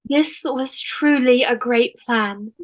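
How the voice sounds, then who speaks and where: A woman's voice: high voice, slow. A woman reading a polite, breezily tactless rich woman in a sitcom table read. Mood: gracious.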